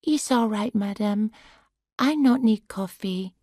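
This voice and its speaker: Woman doing a gentle apologetic carer. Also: mid-range voice, no room sound, clean studio sound, pitched above the performer's own voice. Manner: halting broken English, apologetic. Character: patient young female carer, gentle and polite.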